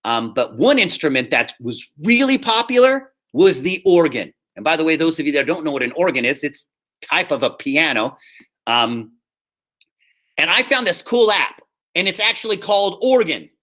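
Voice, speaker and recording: A man speaking as a high school drama teacher, giving upbeat, informal instructions to students and often checking that they follow. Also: sing-song, moderate pace, bright, forceful tone. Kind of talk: casual instructional talk to students in an online class.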